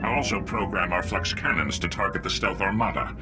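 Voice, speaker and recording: An actor playing a boastful, self-serving and cowardly enemy station technician in a video game, speaking in a theatrical, pompous way. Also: male voice, low-pitched, a little fast, loud music behind the voice, lightly acted. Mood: grudging.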